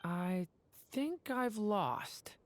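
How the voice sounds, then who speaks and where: A woman's voice: medium pitch, big pitch swings, drawn-out words, no echo. A woman voicing a shy, earnest young male dragon in human form in a video game, hesitant and sincere. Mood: dejected. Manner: soft-spoken, hesitant, sincere. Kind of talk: naturalistic video game voice acting.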